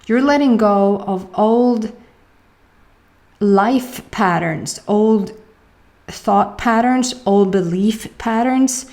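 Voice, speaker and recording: Woman, Swedish accent, young adult, mellow tone, casual tarot reading, rambling with fillers. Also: medium pitch, extremely slow. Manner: rambling, searching for words, many fillers. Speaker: tarot reader.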